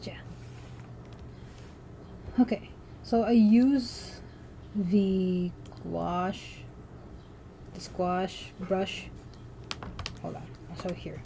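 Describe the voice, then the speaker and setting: A young woman from the Philippines talks casually during a drawing livestream, her tone mellow and warm rather than bright. She rambles, restarts her sentences and leans on filler words. Faint keyboard typing sits in the background. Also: on the low side, steady tone, very slow, gravelly, long pauses, slight background noise. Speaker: artist streaming while drawing.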